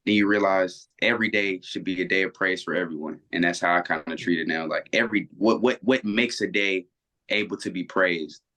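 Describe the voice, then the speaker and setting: Low-voiced earnest young man, rambling. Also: a little fast, bright, forceful tone. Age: 20s. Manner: earnest, rambling with many restarts. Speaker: interview guest. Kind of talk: reflective casual conversation. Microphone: video call.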